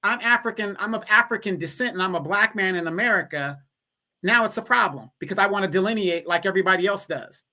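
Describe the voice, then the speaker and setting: A man's voice: high-pitched, quick pace, bright, forceful tone. A man co-hosting a casual livestream, making emphatic points and repeating them to drive them home. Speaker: co-host.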